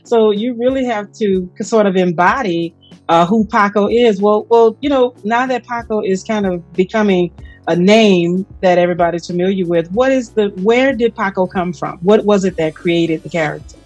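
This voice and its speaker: A woman's voice: medium pitch, normal speed, darkish tone, lo-fi sound. Female talk host. Middle-register voice, casual and encouraging, feels out questions as she goes, faint music bed. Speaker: interview host. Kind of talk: casual conversational interviewing.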